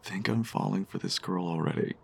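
An actor playing a shy, smitten diner customer in a romance audio drama, speaking in a hesitant, bashful way. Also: male voice, low-pitched, fairly quick, vocal fry, darkish tone, breathy edge, full character voice.

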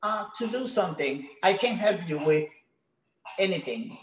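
A woman from Venezuela with a Venezuelan Spanish accent, a gym trainer talking as an interview guest in halting English with many restarts. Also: on the low side, animated, roomy sound, low-quality recording. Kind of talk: casual interview.

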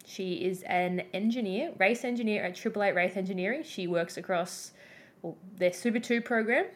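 Aussie woman podcast host, teasing, quick bursts of talk. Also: mid-range voice. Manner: bubbly and teasing, fast bursts. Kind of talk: casual chat.

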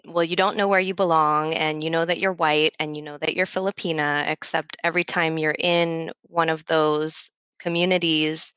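A woman's voice: sits low, level tone, moderate pace, hardly any pauses, a bit bright, clear, resonant tone, no echo, highs cut off. An American-born woman co-hosting a podcast, chatty and empathetic, laughing often as she relates. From United States. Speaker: podcast co-host. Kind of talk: casual chat.

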